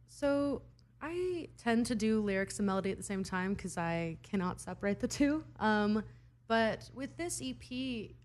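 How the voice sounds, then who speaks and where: A young woman from Idaho, a singer-songwriter answering interview questions in an earnest, thoughtful way with laughs between answers. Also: mid-range voice.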